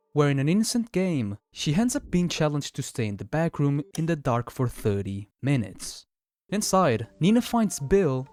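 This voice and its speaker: A man's voice: a little high, darkish tone. A man giving scripted, explanatory narration in the style of a video essay.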